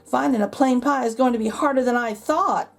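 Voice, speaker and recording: Woman playing a cautious little girl, high-voiced. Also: fairly quick, creaky, background noise. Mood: doubtful. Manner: questioning, hesitant. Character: particular, cautious little girl, wary of new foods. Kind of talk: children's storybook read-aloud.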